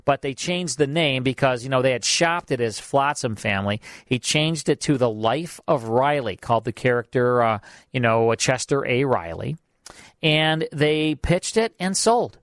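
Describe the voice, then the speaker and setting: A man's voice: mid-range voice, lively intonation, moderate pace, a bit bright, no room sound, studio quality. A man hosting a radio show, chatting casually and rambling with lots of fillers as he introduces an old broadcast.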